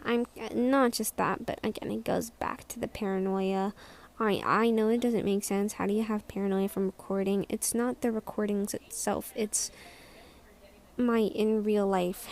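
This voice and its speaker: A young woman with a squeaky voice rambling in a casual vlog, hesitant and self-conscious, full of restarts and trailing words. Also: creaky, a little mellow. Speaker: vlogger.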